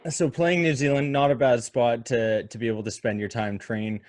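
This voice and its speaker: A man's voice: medium pitch, steady tone, moderate pace, a little mellow. A man hosts an interview podcast in a friendly, easygoing way. His questions run long and loop with small fillers. The sound is dry and close, with no room echo. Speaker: podcast host.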